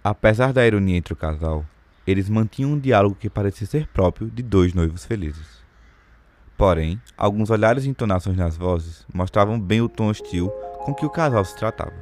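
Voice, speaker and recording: A man's voice: fairly low pitch, animated, normal speed, darkish tone, soft background music. A man giving scripted literary narration for an audio drama.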